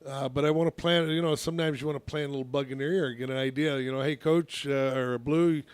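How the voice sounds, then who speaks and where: A man, a youth softball coach, telling a long story on a casual radio talk show, with a naturally loud voice and many restarts. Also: medium pitch, steady tone, fast, clear, resonant tone, dry recording.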